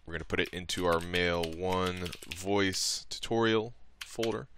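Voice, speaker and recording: A man narrating a casual step-by-step software tutorial in an informal, somewhat hesitant way, thinking aloud as he goes. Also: low-pitched, measured pace, slight background noise. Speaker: tutorial presenter.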